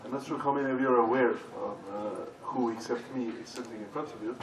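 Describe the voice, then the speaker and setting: A man from Serbia, speaking haltingly as a conference panelist. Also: medium pitch, a crowd in the background. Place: large conference hall with audience. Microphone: stage microphone heard from far off. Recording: echoey hall, distant, audience noise.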